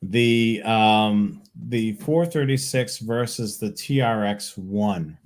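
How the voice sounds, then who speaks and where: A man's voice: fairly low pitch, slow pace. A man hosting a live show, answering tech questions in an informal, plain-spoken way. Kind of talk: casual tech Q&A talk.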